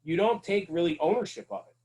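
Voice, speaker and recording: A man's voice: fairly high pitch, very animated, fast, darkish tone. A man speaking as a podcast guest, telling stories conversationally in an earnest, emphatic way with rhetorical check-ins. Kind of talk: conversational storytelling about firehouse culture.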